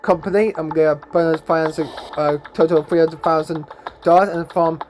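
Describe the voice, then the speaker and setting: A man giving casual gameplay commentary as a YouTuber, rambling and stumbling over his words, his voice hoarse and going. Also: on the high side, fairly even intonation, a little fast, a little mellow, a little hiss or hum. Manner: rambling, stumbling, tags lines with "eh?" and "then, guys". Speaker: gaming YouTuber. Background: game music.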